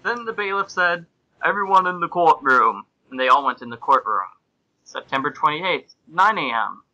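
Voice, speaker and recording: A man's voice: fairly high pitch, lively intonation, normal speed, bright, forceful tone, low-quality recording. A man hosting and narrating a comic amateur reading of fan fiction, in an amused, mock-serious way. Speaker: podcast host and narrator.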